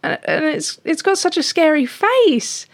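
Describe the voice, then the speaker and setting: A woman's voice: sits high, sing-song, measured pace, pitched above the performer's own voice, exaggerated voice. A woman voicing an anxious, easily frightened little male cat in a panicky, stammering, earnest way. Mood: panicked.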